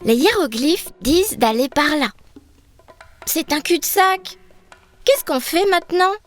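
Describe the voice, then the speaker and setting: A woman voicing a brave, curious little girl in a children's cartoon, eager and expressive as she explains things. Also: very high-pitched, unhurried, faint music behind. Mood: curious, friendly.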